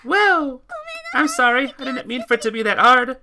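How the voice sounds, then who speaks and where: A man voicing a nervous, clumsy young girl who apologizes loudly and in a fluster. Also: very high-pitched, animated, moderate pace, background noise, voice pushed up.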